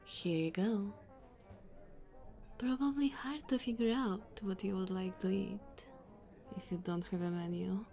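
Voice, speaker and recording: A woman's voice: mid-range voice, measured pace, pauses often, dark tone, soft background music, close to an everyday voice. A woman playing a sassy, flirty diner waitress in an ASMR roleplay, teasing and chatty.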